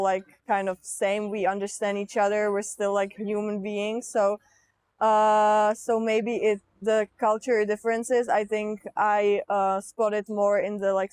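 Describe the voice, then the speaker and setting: A young woman in her early twenties from Czechia answers an interviewer in an unhurried way, full of pauses and fillers. Her mid-range voice is recorded close and dry, with no room sound. She speaks slowly and casually. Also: medium pitch, a little mellow, dry recording. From Czech Republic. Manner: hesitant, many fillers. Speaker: exchange participant.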